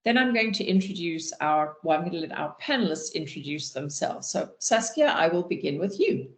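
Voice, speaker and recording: A woman with a low, gravelly voice hosts an online panel on a video call. She speaks in an unhurried, welcoming way. Her voice rings in an echoey room. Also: sing-song. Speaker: community host and moderator.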